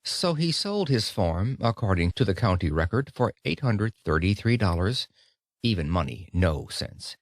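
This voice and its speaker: A man reading an audiobook of a speech in an expressive storytelling manner, with dramatic pauses. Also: sits low, no room sound, studio quality. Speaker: audiobook narrator. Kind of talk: scripted audiobook narration of a speech.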